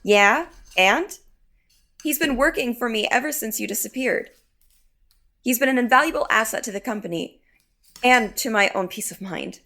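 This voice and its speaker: A woman's voice: a little high, normal speed, long pauses. A woman playing a feisty, defensive young sister in an audio drama, indignant and exasperated. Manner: sharp, indignant.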